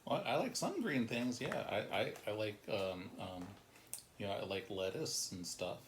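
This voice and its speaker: A man's voice: lowish voice, lively intonation, unhurried. A man playing along as a storytime sidekick, giving playful, casual reactions, with some background noise.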